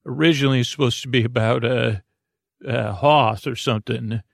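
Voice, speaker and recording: A man hosting a bedtime podcast in a meandering, hesitant monologue full of stammered false starts and tangents, with a gravelly voice. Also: mid-range voice, steady tone, normal speed, no echo, clean studio sound. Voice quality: gravelly, creaky. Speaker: podcast host.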